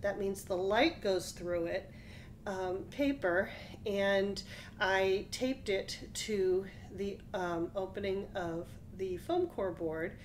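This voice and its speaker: A woman's voice: medium pitch, slight background noise. A middle-aged American woman presenting a craft demonstration for children, explaining step by step in simple phrases in a small room.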